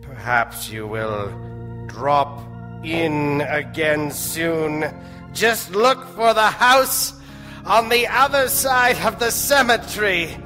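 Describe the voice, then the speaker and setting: Music plays behind a man acting as a sinister storytelling doctor in a reverberant hall. His delivery is unhurried, theatrical and slyly macabre, in the manner of an old-time radio horror serial. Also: a little high, lively intonation, pushed and bright, exaggerated voice. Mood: sly, ominous. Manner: theatrical, drawn-out, ominous. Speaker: narrator and host of a mystery serial.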